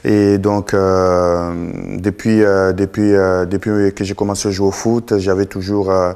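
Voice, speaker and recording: A middle-aged man from Congo, a guest in a TV studio, answering slowly with many fillers and restarts. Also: low voice, little pitch movement, a little mellow, clean tone. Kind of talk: live TV interview.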